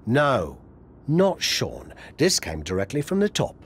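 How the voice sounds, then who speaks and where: A man playing a blunt wrestling insider in a video game cutscene, delivering news with dramatic pauses. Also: medium pitch, wildly swooping pitch, slight background noise. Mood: serious.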